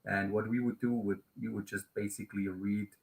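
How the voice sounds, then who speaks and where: A man's voice: on the low side, little pitch movement, fairly quick, creaky, rough recording. A man in his late thirties from Switzerland, with a Swiss German accent, chatting casually and a little hesitantly as the host of a live stream. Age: late 30s. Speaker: YouTube host.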